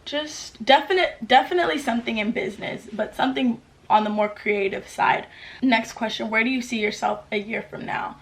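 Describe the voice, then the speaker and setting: A woman's voice: on the high side, normal speed. A woman in her late teens, from the US, answering viewer questions in a casual, upbeat vlog, full of "like" fillers. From United States. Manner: chatty, upbeat, lots of "like" fillers. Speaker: YouTuber. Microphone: camera mic with ring light.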